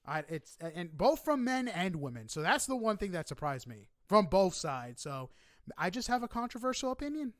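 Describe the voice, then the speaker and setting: Casual male interviewer, higher-pitched voice. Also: normal speed, dry recording, clean studio sound. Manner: effusive, informal, animated reactions. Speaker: podcast interviewer.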